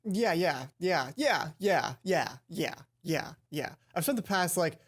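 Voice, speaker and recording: A woman hosting a let's play, reading a visual novel aloud and commenting casually, expressive, stumbling now and then and swearing. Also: on the low side, takes it slowly, slightly rough. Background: game music.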